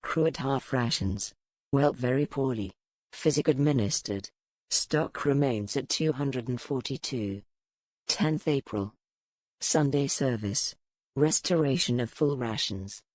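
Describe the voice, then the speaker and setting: Old male sea captain, played by an audiobook narrator: pious, cruel, formal log-entry delivery, low register. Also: a little slow, darkish tone, no room sound, close to an everyday voice. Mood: curt, stern. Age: older. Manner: clipped, severe, righteous. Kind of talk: formal ship's log read as dramatic narration.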